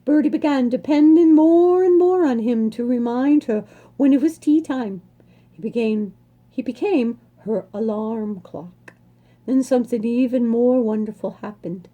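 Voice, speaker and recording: A woman reading a children's storybook aloud expressively, savoring words with dramatic emphasis and pauses. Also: fairly high pitch, narrow, tinny audio.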